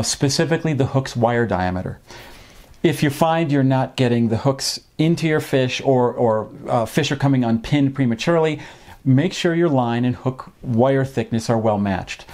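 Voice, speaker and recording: Middle-aged man explaining in measured phrases. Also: mid-range voice, a little mellow. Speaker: fishing instructor and presenter. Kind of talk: informal instructional talk to camera. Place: small home office, indoors.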